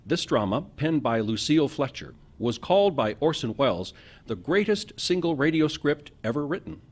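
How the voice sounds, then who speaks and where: A man's voice: a little high, big pitch swings. Theatrical male announcer with swooping intonation. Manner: theatrical, deliberate. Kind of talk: scripted radio drama introduction.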